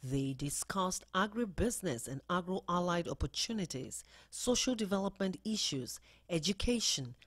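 Low-voiced woman reading a news report. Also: expressive, moderate pace, few pauses, dry recording, studio quality. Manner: formal reporting. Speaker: news narrator. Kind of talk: scripted news voice-over.

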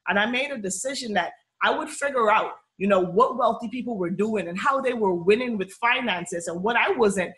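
A woman's voice: mid-range voice, moderate pace, few pauses, a bit bright. Upbeat Bahamian woman hosting a webinar. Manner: chatty, upbeat, frequent "you know" and "okay?" check-ins. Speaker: personal finance webinar host. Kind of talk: live webinar presentation.

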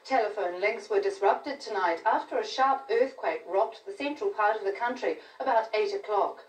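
A woman's voice: mid-range voice, a little mellow. A woman in her thirties with a New Zealand accent, reading scripted television news. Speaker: news presenter. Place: television newsroom studio. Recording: roomy, distant capture of TV broadcast.

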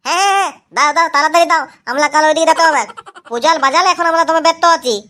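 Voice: very high voice, racing, sharp tone. An actor voicing a cheeky, hungry little grandson in a cartoon comedy, whiny and insistent, with childish lisped words. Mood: whiny, threatening. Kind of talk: amateur cartoon comedy voice acting.

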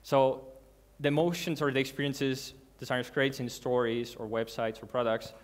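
Guy doing a conference talk in a big dark hall, earnest and kinda halting, lots of restarts. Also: mid-range voice, fairly even intonation. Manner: earnest, halting, with restarts and non-native grammar. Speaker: conference speaker. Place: large dark hall with a seated audience.

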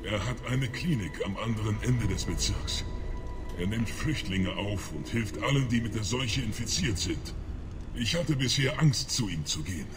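A man's voice: fairly low pitch, animated, moderate pace, close to an everyday voice. Man acting a sickly, suspicious dying alien. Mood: wary. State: sick, coughing, weakened. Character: dying plague-sick male alien, bitter, suspicious of humans. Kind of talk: video game dialogue acting. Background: game music.

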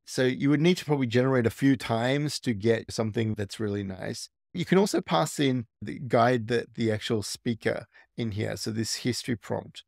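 A man's voice: medium pitch, normal speed, gravelly, hardly any pauses, darkish tone, no room sound, studio quality. A man hosting a tech tutorial, casually walking through a screen recording and thinking aloud with small restarts.

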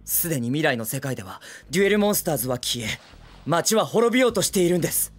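A man voicing a brash, excitable teenage boy hero in an anime film, with cocky, eager, boyish speech. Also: a little high, fast talker, faint music behind. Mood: earnest, urgent. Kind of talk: Japanese anime film acting.

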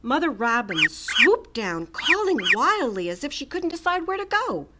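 A middle-aged woman reading a children's picture book aloud with gentle, expressive storytelling and soft whispered asides. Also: high voice, moderate pace, background noise. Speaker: storytime reader. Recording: music playing under the voice.

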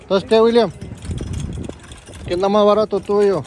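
A man's voice: high-pitched, fairly even intonation, normal speed, darkish tone, a little hiss or hum. A man, chatting casually to viewers from his stall at an outdoor flea market, easygoing and friendly. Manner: easygoing, addresses viewers as "mi gente". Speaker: vlogger selling at a flea market. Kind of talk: casual vlog chat.

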